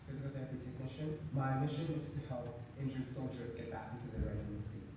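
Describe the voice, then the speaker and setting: A man's voice: medium pitch, fast talker. A man from the Philippines, a soldier speaking matter-of-factly in a recorded interview. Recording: echoey, distant, noisy.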